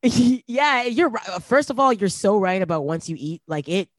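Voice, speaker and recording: A woman's voice: mid-range voice, animated, moderate pace, no room sound. A woman in her late twenties chats casually as an interview guest. She is reflective and loose, leaning on "like" as she thinks aloud. Her voice sits close and dry. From Canada. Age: late 20s. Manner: reflective, lots of "like" fillers, laughs easily. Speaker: rapper guest.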